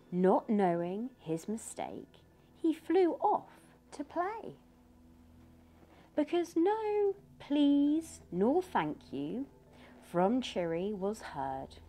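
A middle-aged woman reading a picture book aloud to young children in an expressive, teacherly way. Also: mid-range voice. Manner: asks listeners simple questions, teacherly. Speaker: children's storybook reader. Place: furnished living room by a fireplace. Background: music.

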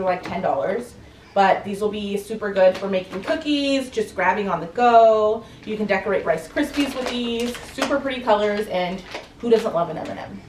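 A woman's voice: fairly high pitch, a little hiss or hum, poor audio. A woman in her thirties chatting casually and enthusiastically, like a lifestyle vlogger showing things off at home. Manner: enthusiastic, gushing. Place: furnished bedroom at home, indoors.

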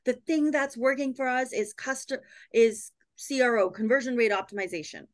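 A woman's voice: a little high, moderate pace. A woman in her thirties, a company co-founder, talking animatedly as a podcast guest over a video call from home. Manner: animated, quotes herself, lots of "like". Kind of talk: casual chat on a video call.

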